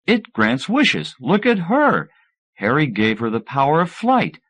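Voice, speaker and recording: A man voicing an excitable, pushy male mad-scientist inventor with an emphatic, agitated delivery in an audiobook. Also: on the high side, a little slow, pitched above the performer's own voice, heavily acted. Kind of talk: acted audiobook dialogue.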